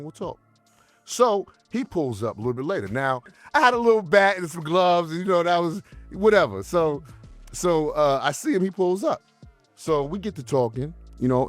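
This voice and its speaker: A man's voice: mid-range voice, darkish tone, dry recording. A man telling the story of a fight in a casual interview, heated and boastful, leaning on fillers.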